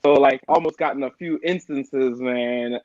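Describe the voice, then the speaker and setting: A middle-aged man from Jamaica, a guest on a casual podcast, telling stories in a relaxed way and often saying "man" and "like". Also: medium pitch, a little slow, highs cut off, crowd noise. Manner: relaxed storytelling with frequent "man" and "like".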